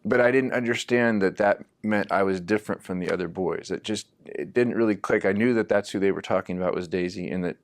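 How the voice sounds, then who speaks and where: A man in a personal interview, reflecting on his past and speaking softly on the serious parts. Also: deep voice, brisk, hardly any pauses.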